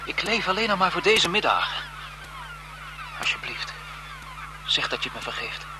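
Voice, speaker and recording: Obsessive male lover, bitter and desperate, melodramatic radio-thriller delivery, wildly varied pitch, noisy vintage broadcast. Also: big pitch swings, normal speed. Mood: pleading. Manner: pleading, impassioned, melodramatic. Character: lovesick, desperate male photographer turning bitter and vengeful. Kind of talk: 1970s Dutch radio thriller acting.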